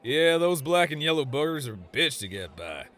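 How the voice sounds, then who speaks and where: A man plays a cocky gunslinger from a fantasy game audiobook. His Western American drawl is teasing and easygoing. The pitch sits a little high for a man and swings in a lively way. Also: moderate pace. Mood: wry, casual. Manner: drawling slang, teasing, chuckling.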